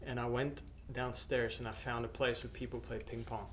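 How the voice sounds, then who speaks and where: A middle-aged man from Norway, a missionary, telling his story to camera in a hesitant way, with many pauses and restarts. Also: mid-range voice, flat delivery. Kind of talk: personal testimony to camera. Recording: faint background noise.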